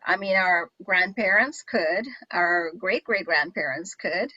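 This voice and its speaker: A woman's voice: fairly low pitch, slow pace, pushed and bright, dry recording, highs cut off. A woman from Guyana hosting an interview, chatting enthusiastically with many restarts. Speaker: show host. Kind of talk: casual interview chat.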